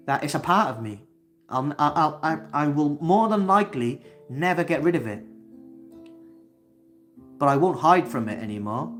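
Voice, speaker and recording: A man with a Welsh accent from Wales, hosting a solo livestream, talking earnestly with frequent hesitations and restarts. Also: medium pitch. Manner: earnest, halting, many fillers and restarts. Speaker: channel host. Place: indoors, seated facing camera.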